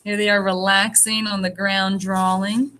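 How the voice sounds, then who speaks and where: A woman speaking as a first-grade teacher, reading and explaining to young children in an animated, encouraging way. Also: mid-range voice, a little slow. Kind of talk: read-aloud and lesson addressed to young children.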